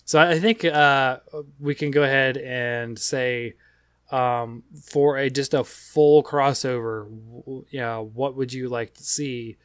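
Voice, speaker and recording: A man hosting a casual gaming podcast, talking in a rambling, halting way. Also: medium pitch, steady tone, fairly bright tone, clean tone, dry recording, studio quality. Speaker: podcast host.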